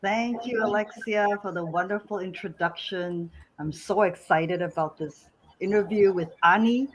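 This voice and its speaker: A woman co-host from Malaysia talks in a mid-pitched voice. She speaks haltingly, filling gaps with ums and restarting phrases as she goes. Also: slightly rough, poor audio. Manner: hesitant, many fillers. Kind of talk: casual spoken remarks.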